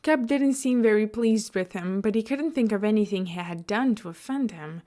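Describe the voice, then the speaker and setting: A woman's voice: mid-range voice, moderate pace, mellow. A woman narrating a piece of scripted fiction as an audiobook-style reader. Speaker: podfic reader.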